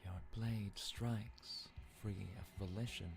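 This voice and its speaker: A man playing a solemn, grave narrator of a fantasy game in measured, portentous, poetic delivery with a deep gravelly voice. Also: fairly even intonation. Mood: solemn, intense. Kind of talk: slow dramatic scripted narration. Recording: noticeable background noise.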